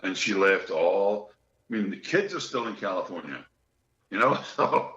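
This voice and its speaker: Scottish man, bright forceful voice. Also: deep voice, animated, normal speed. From Scotland. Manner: chatty, joking storyteller. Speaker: guest musician. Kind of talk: casual interview chat. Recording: echoey room, dull lo-fi sound.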